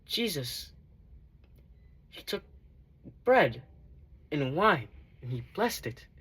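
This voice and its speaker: A man playing a devout former tax collector in a dramatic monologue, giving earnest, measured testimony. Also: a little high, a bit bright, husky, exaggerated voice. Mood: sorrowful. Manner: earnest, measured, emphatic storytelling.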